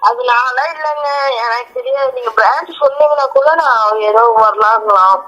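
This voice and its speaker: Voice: medium pitch, normal speed, bright, forceful tone. A speaker arguing on the phone, protesting and asking the same questions again. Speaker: prank call recipient. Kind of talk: argumentative phone conversation. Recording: dull lo-fi phone call.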